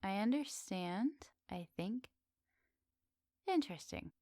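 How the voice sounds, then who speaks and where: A woman plays a centuries-old witch of the woods in an intimate ASMR roleplay. She speaks slowly at a medium female pitch, coy and teasing, with expressive turns and soft pauses. The sound is dry, with very little room around her. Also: dry recording. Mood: curious, amazed.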